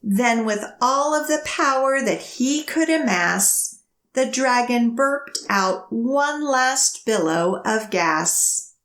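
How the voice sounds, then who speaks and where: Lady doing kids storytime, really slow singsong reading, kinda high voice, roomy echo. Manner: expressive, measured, clearly phrased sing-song delivery. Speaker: children's librarian leading storytime. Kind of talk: read-aloud picture book storytelling and action rhymes for children.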